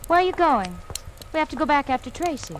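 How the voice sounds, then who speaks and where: An actress playing a spirited, affectionate but stubborn wife, expressive in the manner of 1940s radio drama. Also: female voice, sits high, fast talker, noisy background. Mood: insistent.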